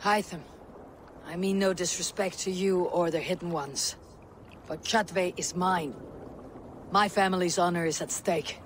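A woman playing a proud, vengeful young Viking warrior in a game drama, speaking in solemn, measured, archaic phrases. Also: lowish voice, steady tone, a little hiss or hum, lightly acted. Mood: grim resolve. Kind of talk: cinematic video game drama.

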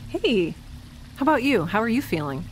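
A woman's voice: a little high, sing-song, moderate pace, a little hiss or hum, rough recording. A woman playing a chatty, quirky, anxiously upbeat space dweller in an audio drama, rambling wryly and naturally. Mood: upbeat, defensive. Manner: rambling, wry, self-reassuring. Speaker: lead character. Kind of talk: naturalistic audio drama acting, talking to herself.

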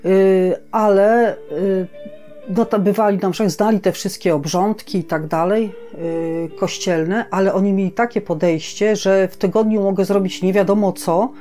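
A woman's voice: mid-range voice, steady tone, moderate pace, warm tone, faint music behind. A woman co-hosting a podcast in casual conversation, rambling a little and repeating words as she thinks aloud. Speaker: podcast co-host.

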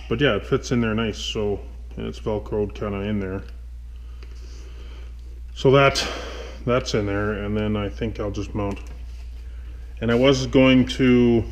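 A man with a fairly low voice talks very slowly, hesitating and thinking aloud. He sounds like a vlogger casually showing gear in a garage workshop, with no script and plenty of rambling. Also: a little mellow. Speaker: motovlogger host. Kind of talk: casual unscripted gear walkthrough.

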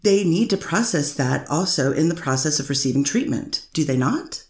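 A woman's voice: sits low, lively intonation, normal speed. A woman attorney cross-examining a witness in a courtroom, pressing with leading questions. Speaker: defense attorney. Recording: echoey courtroom recording.

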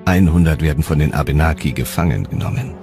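A man narrates a documentary from a script in a low, deep voice. Music plays clearly behind his narration. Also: fast talker.

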